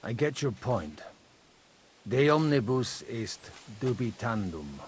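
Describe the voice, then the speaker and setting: A man playing a worldly medieval parish priest in a video game, measured and dryly witty, with music behind. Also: mid-range voice, big pitch swings, husky, exaggerated voice. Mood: wry, self-assured. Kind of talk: video game cutscene acting.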